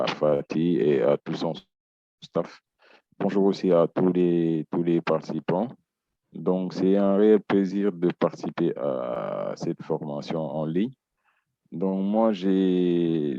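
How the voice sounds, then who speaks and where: Male participant, very deep, slow delivery, muffled dry call sound. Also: warm tone, dry recording. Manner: hesitant, many fillers. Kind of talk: self-introduction in online meeting. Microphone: video call. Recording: dull dry video-call audio.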